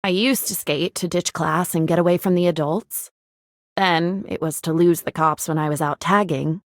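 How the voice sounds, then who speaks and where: An actress voices a rebellious young female skater in a video game. Her tone is casual and thoughtful, a bit low, with a close, dry studio sound. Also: fairly even intonation, normal speed, no echo, natural voice. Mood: casual, reflective. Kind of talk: video game character voice line.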